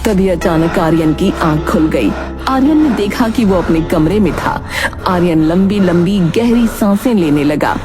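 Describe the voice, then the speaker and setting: A woman's voice: fairly low pitch, animated, a little slow. A woman narrator reading a scripted horror story. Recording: music behind the voice.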